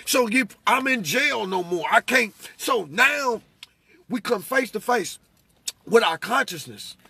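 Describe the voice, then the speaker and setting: A middle-aged American man with a high, gravelly voice and a husky edge. He preaches conversationally, testifying emphatically and repeating his points for weight. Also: lively intonation, measured pace, sharp tone. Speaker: religious speaker. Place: inside a parked vehicle.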